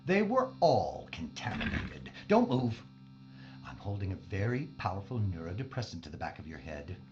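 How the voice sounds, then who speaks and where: An actor playing a fanatical, grandiose mad scientist villain who monologues theatrically in a science fiction audio drama, with a dull recording. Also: male voice, low voice, wildly swooping pitch, normal speed, darkish tone, slight background noise. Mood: menacing, gloating. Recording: dull band-limited sound.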